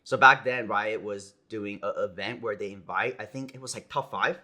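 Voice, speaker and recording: A young American man, a pro gamer, chatting casually in an interview, relaxed and rambling with lots of "like" and "you know". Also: fairly low pitch, moderate pace. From United States. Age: young adult. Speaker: esports player guest.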